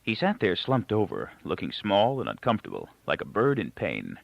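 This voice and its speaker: A dull, dry, close recording of a man narrating a novel. His voice sits low and has a gravelly creak, and his intonation swings very widely as he tells the story. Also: wildly swooping pitch, moderate pace, dry recording. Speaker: audiobook reader. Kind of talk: scripted literary narration with character dialogue.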